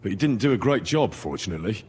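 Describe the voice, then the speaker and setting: Husky plain-spoken young squire. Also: male voice, on the low side, expressive, quick pace, darkish tone, big-room sound. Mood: earnest recounting. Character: plain-spoken young male medieval squire recounting his misadventures. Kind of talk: dramatic video game dialogue.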